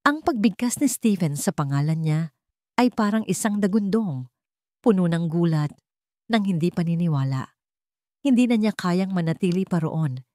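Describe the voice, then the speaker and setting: Filipina woman narrating a dramatic love story, lowish soft-toned voice, super dry close sound. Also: expressive, normal speed, no echo.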